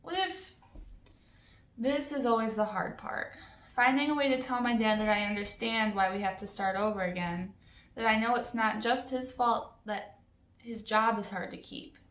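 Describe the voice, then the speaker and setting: A woman's voice: medium pitch, steady tone, moderate pace, a bit bright. A woman hosting a read-along, chatting casually and reading a children's novel aloud expressively. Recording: roomy echo with background noise.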